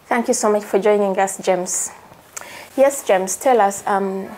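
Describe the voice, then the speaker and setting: A woman's voice: mid-range voice, measured pace, dark tone, poor audio. Woman hosting over background noise. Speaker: host. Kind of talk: conversational on-camera interview.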